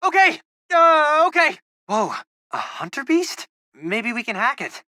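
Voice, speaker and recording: A man's voice: extremely high pitch, big pitch swings, a little slow, bright, forceful tone, no echo, studio quality, exaggerated voice. A young American man voicing an eager, geeky teenage boy, a loyal tech sidekick in a video game, informal and earnest. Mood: excited, focused.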